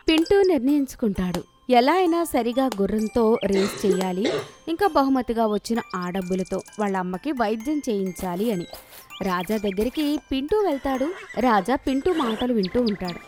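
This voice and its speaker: A woman's voice: on the high side, lively intonation, normal speed. A woman reading scripted narration for children's moral stories. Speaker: story narrator. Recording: clear music bed behind narration.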